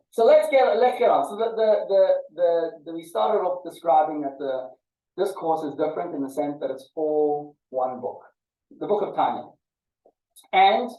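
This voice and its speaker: A man teaching a small study group informally, often halting and repeating words as he explains. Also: a little high, dark tone, distant mic. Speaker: class teacher. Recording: muffled phone-like audio, echoey, off-mic.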